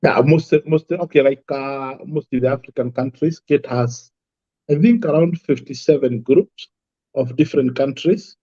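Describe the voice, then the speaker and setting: A man's voice: mid-range voice, normal speed, mellow, husky, no echo, lo-fi sound. A man from Kenya, a guest from an apple farm, talking easily and repeating his words. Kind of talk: casual interview talk.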